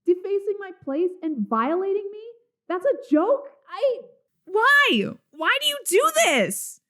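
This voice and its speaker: Lady doing a quirky excitable teen girl for a comic dub, high voice, super swoopy and dramatic. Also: takes it slowly, heavily acted. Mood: upset, indignant. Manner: dramatic, emphatic, teasing. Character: quirky excitable teenage girl, the Tumblr website personified. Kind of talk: amateur webcomic dub.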